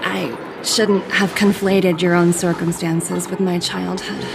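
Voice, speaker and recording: A woman's voice: on the low side, level tone, slow, vocal fry, noisy background. A woman playing a poised, shrewd corporate executive in a video game, with articulate, formal, businesslike delivery. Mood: admiring, reflective. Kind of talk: scripted video game cutscene acting. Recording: loud game music behind the voice. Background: music.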